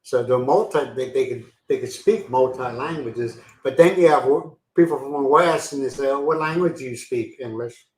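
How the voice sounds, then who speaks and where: A man's voice: mid-range voice, normal speed, hardly any pauses, echoey room, rough recording. A man from Pennsylvania hosting a casual live-stream chat, rambling and self-deprecating, laughing easily. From Pennsylvania, USA. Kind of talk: casual chat reading viewer comments. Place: home in the Philippines.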